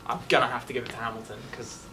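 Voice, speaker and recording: A man's voice: mid-range voice, level tone, rapid-fire, sharp tone. A man, a student actor chatting effusively and informally, often saying "like". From England. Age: late teens. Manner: effusive, peppered with "like". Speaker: student actor being interviewed. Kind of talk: casual interview chat. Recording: distant mic with faint background noise.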